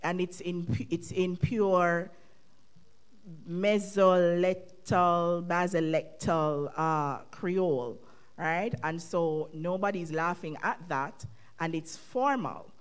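Slow, measured Jamaican woman panelist. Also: on the low side, smooth. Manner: measured, thoughtful with restarts. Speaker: conference panelist. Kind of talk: academic panel discussion. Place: university lecture hall. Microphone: microphone on stand at podium.

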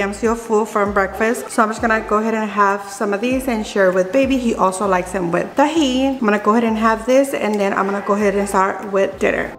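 A woman's voice: medium pitch, a bit bright. A woman in her thirties chatting casually through a cooking vlog, dropping Spanish phrases now and then. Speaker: vlogger. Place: large home kitchen. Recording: roomy kitchen echo with background music.

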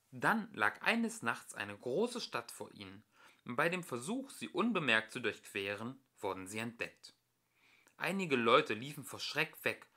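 A young man reads a children's story aloud in a bright, clear-cut tone. His delivery is expressive and lively in pitch, like an amateur audiobook narrator telling a tale to a child.

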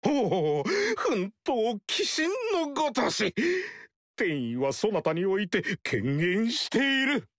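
A man's voice: very high voice, fairly bright tone, dry recording, studio quality, exaggerated voice. An actor playing a grandiose male sorcerer-priest who proclaims heaven's will in a solemn, archaic way. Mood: proclaiming. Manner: solemn and pompous, slow declaiming. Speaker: Zhang Jiao. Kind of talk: game voice acting.

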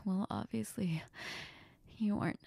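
A woman playing a heartbroken young woman in an intimate audio role-play, crying and confiding in a halting, breathy, often whispered voice. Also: medium pitch, warm tone, exaggerated voice. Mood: tearful, apologetic. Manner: halting, sniffly, tearful. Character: heartbroken, tearful, apologetic young woman confiding in best friend.

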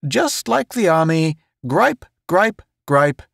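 Man playing high-pitched, gleeful, teasing spaceman buddy. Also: sing-song, slow, creaky, a bit bright, dry recording, studio quality, full character voice. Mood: cheerful needling. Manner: gleeful, teasing, folksy wisecracking. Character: jovial, needling, giggly spaceman pal, personal affairs officer. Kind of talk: audiobook character voice.

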